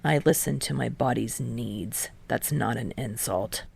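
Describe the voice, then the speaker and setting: A woman voicing a gruff, awkward, blunt teenage boy athlete in an audiobook, muttering and halting. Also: rumbling low, darkish tone, no echo, pitched below the performer's own voice, full character voice. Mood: grumbling.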